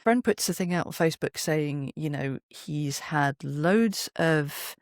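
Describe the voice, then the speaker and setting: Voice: medium pitch, expressive, slow, dry recording, clean studio sound. A middle-aged performer telling anecdotes as an interview guest, in a wry, self-deprecating, conversational way.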